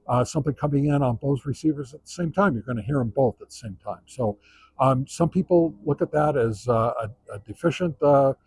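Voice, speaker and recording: A man giving a casual product review as a monologue, halting and often pausing mid-sentence. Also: mid-range voice, darkish tone, husky, no room sound. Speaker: radio gear reviewer.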